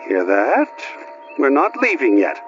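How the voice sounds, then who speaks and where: A man's voice: medium pitch, huge pitch swings, unhurried, fairly bright tone. A man playing a fussy, haughty, stubborn priest in a video game, speaking in a pompous, formal way. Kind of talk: cinematic video game acting. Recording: dull lo-fi audio, faint music.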